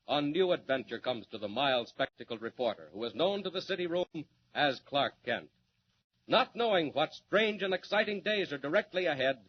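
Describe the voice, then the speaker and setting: A male announcer narrating a 1940s radio serial with dramatic, booming cliffhanger delivery. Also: dull audio, a little hiss or hum.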